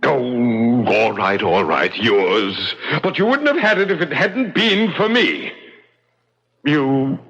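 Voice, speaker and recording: An actor playing a centuries-old aristocratic ghost, blustering and devoted, in a theatrical old-time radio drama style. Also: male voice, medium pitch, lively intonation, slow, fairly bright tone, slightly rough, full character voice. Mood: grandly resolute. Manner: grandiloquent, theatrical. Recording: dull vintage radio recording.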